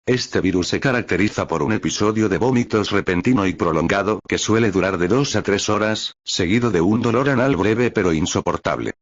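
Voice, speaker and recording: Dull, lo-fi low-voiced man announcing an emergency. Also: fast talker, few pauses. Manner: formal, instructional. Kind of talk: scripted emergency broadcast announcement. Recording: dull, lo-fi, dry audio.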